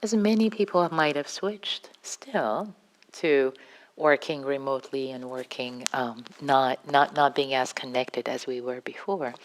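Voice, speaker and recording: Woman, Farsi accent, low voice, unhurried, gentle, halting meditation talk. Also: poor audio. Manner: gentle, halting, with frequent restarts. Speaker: meditation teacher. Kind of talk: extemporaneous dharma talk. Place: meditation hall.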